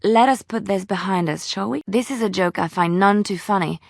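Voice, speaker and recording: A woman's voice: mid-range voice, moderate pace, vocal fry, dry recording, clean studio sound. A woman playing an earnest, tender-hearted young adventurer in a fantasy video game, speaking hesitantly and sincerely. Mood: hurt, reproachful. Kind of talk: dramatic video game dialogue.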